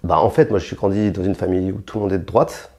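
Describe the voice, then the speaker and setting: A man's voice: steady tone, fast, a little mellow. A young French man answering interview questions bluntly and provocatively, with many restarts and fillers. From France. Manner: rises in pitch and force when heated. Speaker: interviewed rapper.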